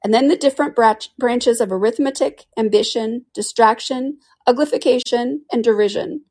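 A woman's voice: on the high side, darkish tone, pitched above the performer's own voice, close to an everyday voice. A woman voicing a sorrowful male Mock Turtle in a storybook reading, plaintive and drawn out. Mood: mournful. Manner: weepy, plaintive, drawn-out delivery.

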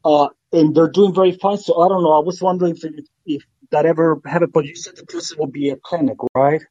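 A man's voice: fairly high pitch, steady tone, warm tone, slightly rough, no echo. Man on a dull call line. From Puerto Rico. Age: 50s. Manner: halting, searching for words. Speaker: caller. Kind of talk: call-in question.

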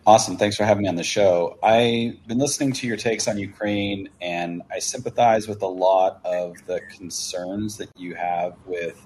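Low-voiced man calling in. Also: darkish tone, a little hiss or hum, rough recording. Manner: measured, hedging with "sort of". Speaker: caller. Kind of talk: call-in talk show.

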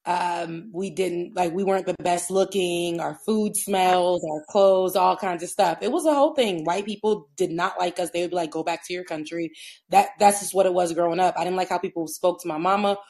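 Low-voiced young woman, Haitian Creole first language. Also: moderate pace, darkish tone. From United States, Haitian immigrant family. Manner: candid storytelling, slangy asides. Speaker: podcast co-host. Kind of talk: casual podcast chat.

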